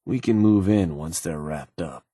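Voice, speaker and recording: A man playing a gruff, shrewd raider strategist in a video game, with a husky voice and a laid-back, businesslike, colloquial delivery in clipped phrases. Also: low voice, a little slow, mellow, no echo, clean studio sound. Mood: casual advising. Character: gruff, shrewd male raider strategist advising his gang boss. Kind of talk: video game character dialogue.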